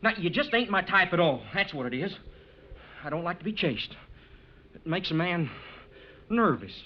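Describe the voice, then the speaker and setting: Muffled vintage radio, flustered reluctant cowhand, folksy cowboy drawl, lively pitch, faint hiss. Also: male voice, moderate pace. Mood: flustered, exasperated. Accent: American cowboy drawl. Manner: folksy, exasperated. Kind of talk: old-time radio western acting.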